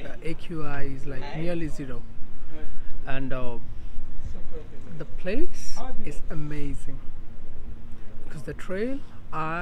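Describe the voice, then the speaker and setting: A man's voice: a little high, lively intonation, drawn-out words, pauses often, crowd noise, poor audio. A young man answering a friend's questions outdoors, hesitant and repeating words as he talks. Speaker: friend on the trip. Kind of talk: casual interview answer. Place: outdoors by a park lodge.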